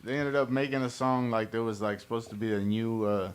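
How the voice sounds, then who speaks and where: Male podcast guest, medium pitch. Loose, slangy storyteller who swears freely. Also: steady tone, a little fast, smooth. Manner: slangy, profane, frequent "bro" and "like".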